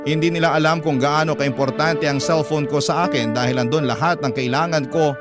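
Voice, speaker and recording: A man's voice: a little high, steady tone, a little fast. A man with a Filipino accent narrating a scripted first-person story for a radio drama. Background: prominent background music.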